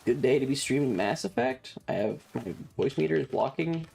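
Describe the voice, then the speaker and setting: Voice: mid-range voice, animated, moderate pace, creaky, darkish tone, slight background noise, rough recording. A streamer giving casual, sarcastic game commentary to chat, playfully crude and full of swearing. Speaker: streamer, host. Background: faint game music.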